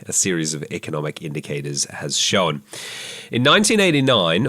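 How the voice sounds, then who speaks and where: A man's voice: low voice, fairly bright tone, no echo. A man with an Australian accent and a head cold, co-hosting a podcast, reading news figures and quotes with dry asides. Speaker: podcast co-host.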